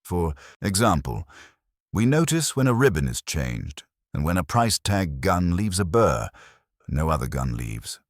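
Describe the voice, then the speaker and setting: A man's voice: low-pitched, sing-song, measured pace, dry recording, studio quality, voice pushed up, heavily acted. A man voicing an imperious, exacting detective who speaks formally and mildly, with precise, cutting delivery in an audiobook. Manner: formal, mild-spoken, precise.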